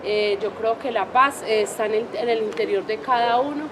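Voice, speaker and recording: Reflective woman, slightly high voice. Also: a little fast. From Colombia. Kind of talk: interview statement. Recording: clear background noise.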